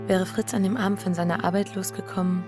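Radio drama lead: guilt-ridden married woman, played by an actress, mid-range, hushed, inward, breathy delivery. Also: medium pitch, steady tone, fast talker, over music, close to an everyday voice. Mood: reflective. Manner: introspective, breathy, often near whisper. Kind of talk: German radio drama inner monologue.

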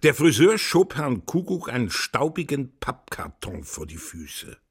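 A man's voice: mid-range voice, wildly swooping pitch, unhurried, breathy edge. A man narrating a scripted children's radio story as the storyteller. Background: music.

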